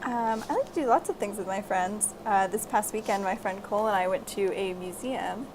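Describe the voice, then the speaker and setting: A woman's voice: medium pitch, brisk. A woman hosting a children's story time, chatting casually and giving encouraging craft instructions. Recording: clearly noisy amateur recording.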